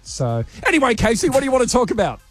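A man's voice: very high voice, wildly swooping pitch, no room sound, soft background music. An Australian man hosting a breakfast radio show, bantering and teasing his co-hosts and callers. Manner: jokey, teasing, quick to laugh. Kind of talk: casual on-air banter. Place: radio studio.

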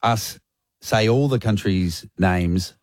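A joking Australian man co-hosting a radio show, his voice sitting low and easy as he teases callers. He is close on a dry studio microphone, with no room sound around him. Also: takes it slowly, no echo. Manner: jokey, teasing, laughs often. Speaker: radio co-host. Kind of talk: casual radio banter.

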